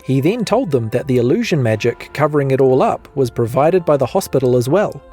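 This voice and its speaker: A husky man with a New Zealand accent delivers scripted fantasy narration. His tone is mellow and very animated, with music underneath. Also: mid-range voice, fairly quick. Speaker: recap narrator.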